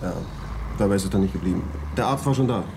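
Actor as a fast-talking, chatty street cop. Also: male voice, on the low side, noisy background, lightly acted. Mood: reporting. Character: chatty, crude but deferential male street cop. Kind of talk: German radio drama acting.

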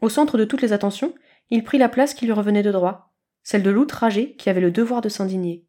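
A woman reading scripted fiction aloud as an expressive storyteller, at a medium pitch. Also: fairly quick. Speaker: narrator, podfic reader.

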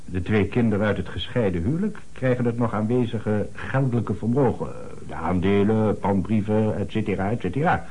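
A middle-aged notary in a radio drama. His voice is low and rough-edged. He talks formally and deliberately, leaning on key words with earnest, slightly indignant weight. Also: mellow, poor audio. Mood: explanatory. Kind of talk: radio play acting.

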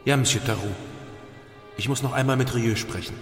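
A man's voice: medium pitch, fairly even intonation, fairly quick, faint music behind, natural voice. An actor playing an earnest, conflicted, insistently questioning man trapped by an epidemic in a German radio play. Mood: hesitant, probing.

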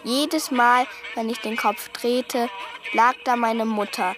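A woman's voice: fairly high pitch, steady tone, slow. An actress playing a haunted, restrained woman recounting a childhood trauma in a radio drama monologue. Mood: haunted, recollecting. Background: music.

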